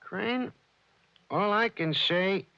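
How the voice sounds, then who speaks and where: An actor playing a wisecracking police sergeant in a 1940s radio drama, with an incredulous manner. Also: male voice, sits high, extremely slow, vocal fry, sharp tone, clean tone, full character voice. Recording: dull 1940s radio broadcast recording.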